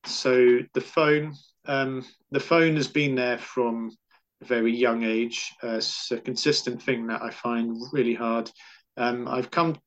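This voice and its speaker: A man's voice: mid-range voice, steady tone, measured pace, lo-fi sound. A man with a stammer, answering questions in a casual interview, repeating syllables and words as he talks.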